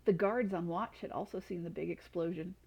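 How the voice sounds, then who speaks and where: Mellow-voiced woman reading a storybook. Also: lowish voice, fairly quick, creaky, muffled recording. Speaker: storytime reader. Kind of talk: reading a children's storybook aloud.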